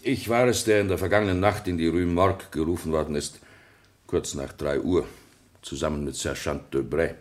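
An actor playing a dutiful French police sergeant who testifies formally and precisely in a German radio play. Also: male voice, low-pitched, steady tone, normal speed, lightly acted. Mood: dutiful, earnest.

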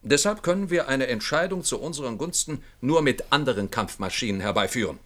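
A man's voice: mid-range voice, lively intonation, fairly quick, a bit bright, natural voice. Male actor as military commander, impatient, mid-range voice, firm, formal, radio play. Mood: impatient, insistent. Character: impatient male general, supreme commander in space war. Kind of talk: German radio play acting.

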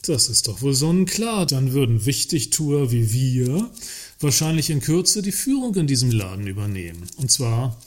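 An actor playing a dry, mocking works council representative in a satirical radio play, ironic and wordy. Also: male voice, medium pitch, big pitch swings, normal speed. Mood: mock earnest.

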